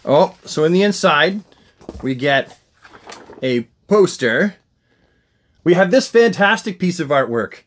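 A middle-aged man hosting a casual, enthusiastic unboxing vlog, talking in an animated, exclaiming way. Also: fairly high pitch, takes it slowly, pauses often. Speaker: unboxing review host. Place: small indoor room lined with shelves of collectibles.

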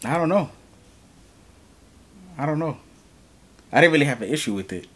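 A man's voice: on the high side, lively intonation, moderate pace, fairly bright tone. A young man from New York co-hosting a reaction video, talking casually with lots of fillers in a room at home. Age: young adult. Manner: laid-back, rambling, lots of fillers. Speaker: reaction channel co-host. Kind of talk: casual chat.

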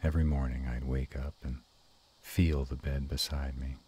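A man playing an affectionate, bashful, gruff were-dragon lover in an intimate romantic roleplay audio, with a slow, teasing murmur. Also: level tone, mellow, full character voice. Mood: bashful, happy. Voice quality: very deep and husky.

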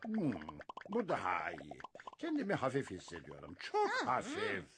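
An actor in a cartoon dub playing a muddled, excitable grown man, dotty and fussing, given to exclaiming and cooing. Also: on the high side, normal speed, vocal fry, heavily acted. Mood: giddy. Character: muddled, excitable grown man who thinks he's a bird. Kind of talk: animated cartoon dub acting. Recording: loud music behind the voice.

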